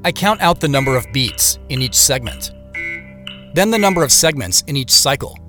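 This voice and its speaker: A man playing a reflective, determined amateur investigator, narrating an audio drama in the first person in a deliberate, questioning manner. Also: medium pitch, expressive, fairly bright tone, soft background music, lightly acted. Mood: focused, determined. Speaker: lead actor and narrator.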